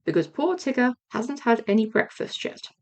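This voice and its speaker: A woman's voice: a little high, very animated, normal speed. A woman voicing a friendly, simple-hearted male toy bear in a children's storybook, mild and earnest.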